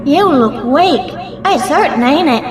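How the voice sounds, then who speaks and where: A plucky, earnest young girl in an audio drama. She speaks slowly and bluntly in a very high, swooping voice with rough grammar, in an echoey space. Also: lively intonation, noisy background. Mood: earnest, loyal. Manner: earnest, blunt, ungrammatical working-class speech. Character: loyal, plucky, plain-spoken young girl helping an old man. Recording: echoey space under music.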